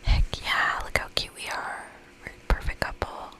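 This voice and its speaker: A woman whispering in a breathy, gentle, reassuring and chatty way as she hosts an ASMR game playthrough. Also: normal speed, poor audio. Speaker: host of an ASMR gaming channel.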